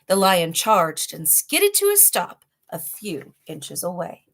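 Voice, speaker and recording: A woman's voice: on the low side, huge pitch swings, slow, creaky, a bit bright. Woman reading a children's storybook aloud. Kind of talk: read-aloud storybook narration with chatty asides.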